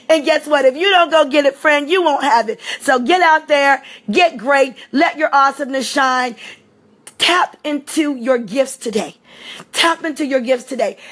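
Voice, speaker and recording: A high-pitched woman with a slightly hoarse voice. She delivers a fervent motivational pep talk with repetition and bursts of shouting. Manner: energetic, preachy repetition, occasional shouting. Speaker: motivational speaker.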